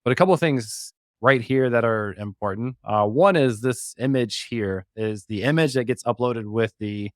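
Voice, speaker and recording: A man's voice: on the low side, no echo, clean studio sound. A man, a podcast co-host, casually talking through a walkthrough in a conversational way. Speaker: podcast co-host, guest on the show.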